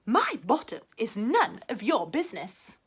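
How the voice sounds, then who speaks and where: A high, whiny storybook cat put on by a woman reading aloud, grumpy and fed up. The complaints stretch out slow, with lots of rise and fall in the pitch. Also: huge pitch swings, husky, exaggerated voice. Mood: indignant.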